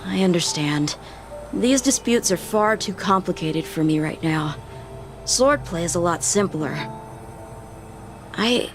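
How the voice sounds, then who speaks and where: A woman playing an earnest, friendly young swordswoman in a video game, speaking in a straightforward, plain way. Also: mid-range voice. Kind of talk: dramatic video game voice acting. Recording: background music over game dialogue.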